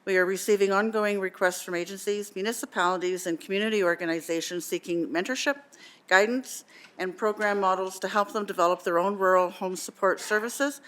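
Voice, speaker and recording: A woman delegate presenting to a council on behalf of a seniors' support group, earnest and filling pauses with "um", partly reading from notes, on a desk microphone in a council chamber. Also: mid-range voice, steady tone, a bit bright. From Canada. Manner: earnest, many "um" fillers, partly reading aloud.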